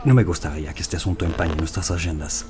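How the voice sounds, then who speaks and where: An actor playing a proud, insistent middle-aged French president in an audio drama, speaking formally. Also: male voice, low-pitched, fast talker, over music, natural voice. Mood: formal insistence. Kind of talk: scripted audio drama dialogue.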